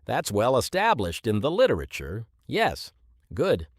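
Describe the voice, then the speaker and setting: Male actor as authoritative professor, critical and precise, highly varied intonation, dry close sound. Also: wildly swooping pitch, measured pace, dry recording. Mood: measured, approving. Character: male professor supervising students, exacting and measured. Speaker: academic supervisor. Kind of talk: scripted exam listening dialogue.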